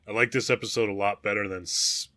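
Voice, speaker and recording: A man's voice: lowish voice, fairly even intonation, quick pace, bright, forceful tone, dry recording. A man co-hosting a casual podcast, speaking earnestly, often in short agreeing bursts. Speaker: podcast co-host. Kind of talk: casual chat.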